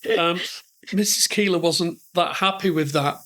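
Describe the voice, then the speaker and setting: A man's voice: sits high, normal speed, a bit bright. A middle-aged man with a northern English accent, telling rambling, self-deprecating stories as a podcast guest. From northern England. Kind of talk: conversational storytelling.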